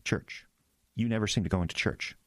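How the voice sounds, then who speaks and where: An actor playing an earnest, anxious young small-town pastor in an audio drama, halting and self-deprecating. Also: male voice, fairly low pitch, no room sound, lightly acted. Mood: nervous, awkward.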